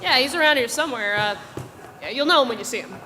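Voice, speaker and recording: A woman's voice: high-pitched, animated, quick pace, bright, forceful tone, slight background noise. A woman doing a laid-back young gym guy. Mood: offhand. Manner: casual, offhand. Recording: long hall reverb.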